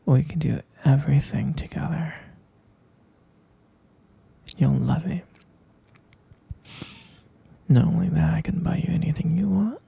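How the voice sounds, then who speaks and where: A man voicing an obsessive, possessive young boyfriend who keeps his partner captive, talking in a soft, coaxing whisper close to the mic. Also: on the high side, animated, a little slow, creaky, pauses often, husky, exaggerated voice. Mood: sweetly obsessive. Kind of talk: whispered ASMR roleplay.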